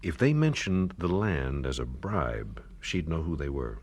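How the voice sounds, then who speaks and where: An actor playing a worried, wealthy landowner in a 1970s radio drama, speaking in a hesitant, measured, troubled way. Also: male voice, extremely low pitch, big pitch swings, heavily acted. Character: weary wealthy landowner, worried father of a kidnapped family.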